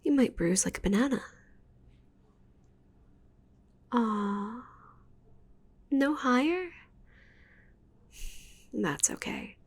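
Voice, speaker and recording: A woman voicing a giant possessive witch girlfriend in an intimate ASMR roleplay, whispery and breathy, cooing and teasing with a menacing edge. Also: fairly high pitch, animated, takes it slowly, exaggerated voice. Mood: playful, teasing.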